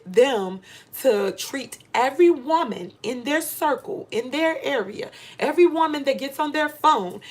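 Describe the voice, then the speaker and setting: A middle-aged American woman giving a candid motivational monologue to viewers, speaking emphatically and repeating phrases for stress. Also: fairly high pitch. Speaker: vlogger giving advice to women.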